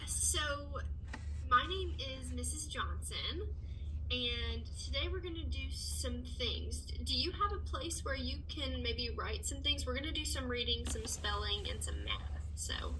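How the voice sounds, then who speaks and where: A woman teacher giving a child a one-on-one reading and spelling test over a video call, praising warmly and reading questions aloud slowly. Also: sits high, expressive, background noise. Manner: encouraging, upbeat praise; reads questions and answer choices aloud slowly. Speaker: teacher giving a student an assessment. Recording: voice a bit far from the mic.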